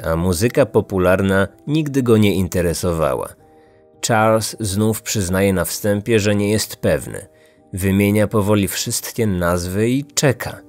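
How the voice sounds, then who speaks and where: Niski głos męskiego narratora kryminalnego. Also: male voice, lowish voice, darkish tone. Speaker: podcast host and narrator. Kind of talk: scripted true-crime narration.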